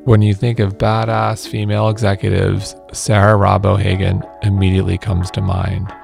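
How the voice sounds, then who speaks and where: A man's voice: low voice, monotone, normal speed, gravelly, a little mellow, faint music behind. A man hosting an interview podcast, enthusiastic and full of praise for his guest. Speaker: podcast host.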